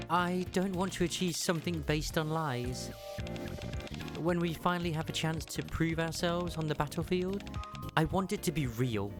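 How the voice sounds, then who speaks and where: A woman playing a stoic, principled naval commander in an anime game fandub, speaking formally and earnestly with authority. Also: low-pitched.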